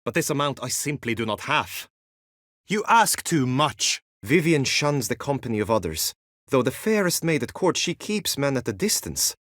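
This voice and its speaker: An actor playing an earnest, lovesick, chivalrous knight in a video game, with formal, archaic courtly phrasing and an anxious streak. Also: male voice, mid-range voice, very animated, moderate pace, a bit bright, no echo, clean studio sound. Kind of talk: video game character acting.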